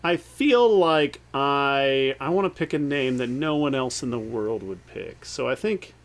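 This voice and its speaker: A man co-hosting a comedy podcast in casual chat, playful, with deadpan asides. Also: mid-range voice, a little slow. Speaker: podcast co-host.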